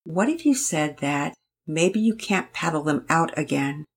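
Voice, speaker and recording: A woman reading a gentle, earnest mother. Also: deep voice, measured pace, husky, exaggerated voice. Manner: calm, earnest, counseling. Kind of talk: storybook reading.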